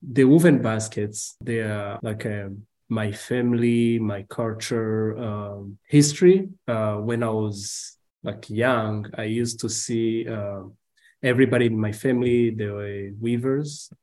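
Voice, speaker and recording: Halting Rwandan-accented young man. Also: fairly low pitch, mellow. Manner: hesitant, halting with many fillers. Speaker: guest. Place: video call.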